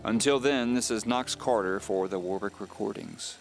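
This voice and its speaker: An actor as Knox Carter, deep-voiced mystery narrator. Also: male voice, normal speed, faint music behind, lightly acted. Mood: weary, confiding. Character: Knox Carter, uneasy man recounting his mystery firsthand. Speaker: narrator of an audio drama. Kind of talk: scripted first-person mystery narration.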